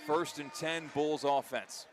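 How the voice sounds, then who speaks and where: A man doing live radio play-by-play of a football game, an excitable commentator who lifts his voice for big plays. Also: fairly high pitch, slow, over music. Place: outdoor high school football stadium. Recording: faint outdoor stadium ambience noise. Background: faint outdoor ambience.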